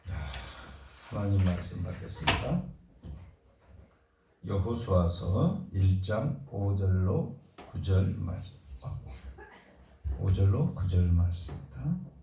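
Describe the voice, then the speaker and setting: A man, a pastor, leading a fervent formal prayer and giving plain announcements, his voice a bit hoarse from a cold. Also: sits low, expressive, slow pace, long pauses, warm tone, a little hiss or hum. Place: church sanctuary. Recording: echoey church from a distance.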